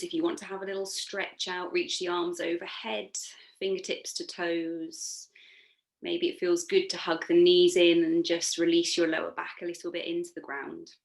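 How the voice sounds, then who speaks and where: A woman yoga instructor leading a guided relaxation meditation in a soothing, gently encouraging, unforced manner. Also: fairly low pitch, vocal fry.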